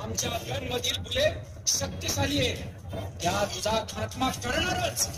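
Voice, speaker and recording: A man's voice: very high voice, expressive, measured pace, loud music behind the voice, over a noisy crowd. An actor playing a threatening armed gunman in a theatrical stage drama style. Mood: menacing.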